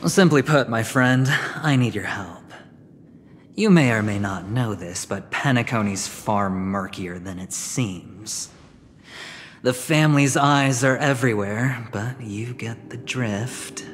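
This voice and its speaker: A man's voice: medium pitch, slow, slight background noise, natural voice. A man playing a suave, scheming young gambler in a video game, smug and teasing. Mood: sly, persuasive. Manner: smooth-talking, smug, theatrical, teasing. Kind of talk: dramatic video game voice acting. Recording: long hall reverb, game music behind.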